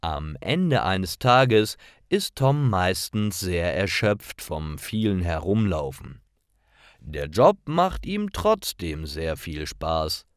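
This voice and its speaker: A man reading scripted stories for language learners in a careful, clearly phrased storytelling manner. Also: low voice, no room sound, clean studio sound. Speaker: narrator of German learner stories.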